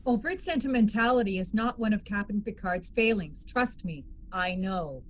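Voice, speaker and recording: A woman's voice: mid-range voice, animated, moderate pace, close to an everyday voice. A woman playing a dry, guarded military legal officer in a science fiction table read. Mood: brisk.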